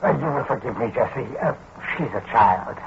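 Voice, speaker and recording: A man acting an evasive, courteous old German landlord in a 1940s radio play, with a German accent and halting, formal phrasing. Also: mid-range voice, expressive, close to an everyday voice. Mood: courteous, guarded. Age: older. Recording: muffled, noisy old radio recording.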